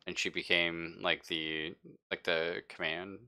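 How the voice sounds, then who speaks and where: A man with a very low, forceful voice acts as game master. He improvises narration and rulings in casual, slangy speech and swears freely. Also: level tone, takes it slowly, clear, resonant tone.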